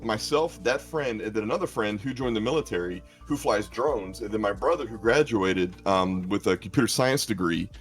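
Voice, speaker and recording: A man with a lowish voice banters on a stream, telling stories about himself and poking fun at his own antics. He is an esports caster, and faint music plays under his talk. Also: normal speed, hardly any pauses. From South Arkansas, USA. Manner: storytelling, self-mocking, joking.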